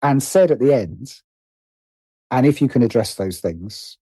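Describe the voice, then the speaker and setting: A man's voice: mid-range voice, lively intonation, measured pace, mellow, breathy edge, no room sound. A British man telling personal stories conversationally as an interview guest, hesitant and often interrupting himself as he thinks aloud.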